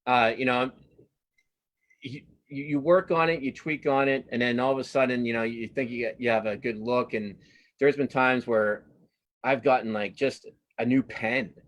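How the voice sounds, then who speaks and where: A man giving a casual interview as a cartoonist guest, speaking haltingly and modestly, often repeating words as he thinks. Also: mid-range voice, steady tone, a bit bright.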